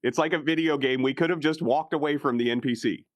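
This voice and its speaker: A man's voice: on the high side, fast talker, no echo, clean studio sound. A man chatting casually as a player in a tabletop game, swearing freely.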